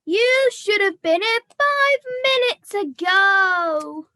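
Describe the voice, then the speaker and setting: Girl doing a bossy puppet, super high bright voice, stern and scolding, drags words out. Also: expressive, slow pace, no echo, exaggerated voice. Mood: exasperated. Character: bossy, exasperated puppet organising a meeting. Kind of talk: comedy puppet show acting. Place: video call.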